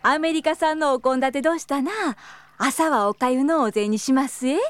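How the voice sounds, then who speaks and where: Kyoto innkeeper played by a woman, courteous and attentive, high register, Kyoto accent, audio drama. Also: normal speed, dry recording, close to an everyday voice. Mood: courteous, welcoming. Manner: polite, soft traditional Kyoto phrasing. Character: gracious, attentive Kyoto inn proprietress.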